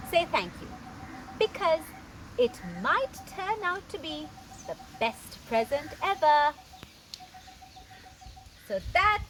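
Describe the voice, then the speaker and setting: High, animated woman reading to children. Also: low-quality recording. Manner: teacherly, expressive, emphatic, drawn-out words. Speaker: storyteller reading aloud to children. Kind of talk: interactive picture-book read-aloud with questions. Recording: clear background noise.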